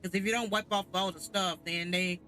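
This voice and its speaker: A man's voice: high-pitched, fairly even intonation, brisk, sharp tone. A man giving casual commentary as he plays a video game. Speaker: gamer. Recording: game music behind voice.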